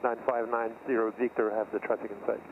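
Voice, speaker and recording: Fast male pilot on noisy, tinny radio. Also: medium pitch, level tone. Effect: air traffic radio. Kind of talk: rapid aviation radio readbacks. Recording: tinny, hissy aircraft radio.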